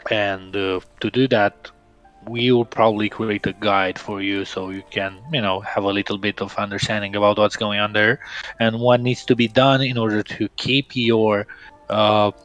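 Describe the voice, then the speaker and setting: Lower-voiced man answering questions. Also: level tone, moderate pace, a bit bright, highs cut off, soft background music. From Romania. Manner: casual, laughs often, some fillers. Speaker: game developer answering viewer questions. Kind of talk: livestream Q&A.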